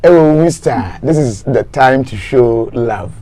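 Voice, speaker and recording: A man's voice: mid-range voice, animated, a little slow, warm tone. A man from Ghana hosting an interview, mixing English and Twi, hesitant and full of fillers. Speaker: interview host.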